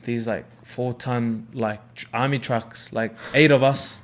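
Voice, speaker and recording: A man's voice: medium pitch, fairly even intonation, unhurried. A young man from New Zealand with a New Zealand accent, chatting casually about his life as a rugby league player, rambling and laid-back. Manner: laid-back, rambling, lots of fillers and swearing. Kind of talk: casual podcast chat.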